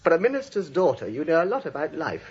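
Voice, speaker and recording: A man's voice: a little high, wildly swooping pitch, fast, husky, lo-fi sound, full character voice. An actor playing a glib, sardonic young man in a 1940s radio comedy, teasing and breezy, on a vintage recording. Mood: teasing, wooing. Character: wry, breezy young man, a cynical drama critic.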